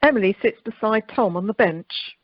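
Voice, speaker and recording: A woman's voice: medium pitch, moderate pace, no echo. Woman narrating, muffled phone sound. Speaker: narrator of an amateur radio play. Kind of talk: scripted narration, reading stage directions. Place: recorded remotely by telephone during lockdown. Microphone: telephone. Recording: muffled telephone conference line.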